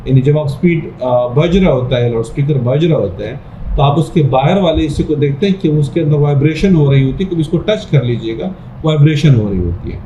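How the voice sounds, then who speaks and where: Guy teaching physics, soft mellow voice, repeats stuff step by step, really echoey room w bit of noise. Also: fairly high pitch, expressive, a little fast, lo-fi sound. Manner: repetitive step-by-step explaining with check-in phrases. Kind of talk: informal explanatory lesson talking through a diagram.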